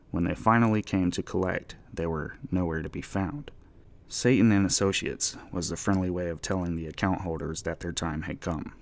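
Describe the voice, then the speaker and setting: Deep, gravelly male storyteller. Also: darkish tone. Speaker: audiobook narrator. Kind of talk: dramatic scripted fiction narration.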